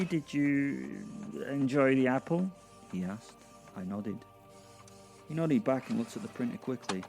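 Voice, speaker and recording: A man's voice: lowish voice, dark tone. A man narrating a comic horror audio drama in the first person, with a wry, conversational manner.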